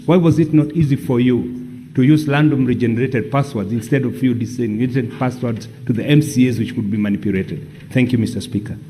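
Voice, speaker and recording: Mellow-voiced man questioning. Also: mid-range voice, brisk, slight background noise. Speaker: questioner of a witness. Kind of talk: formal parliamentary questioning. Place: parliamentary chamber.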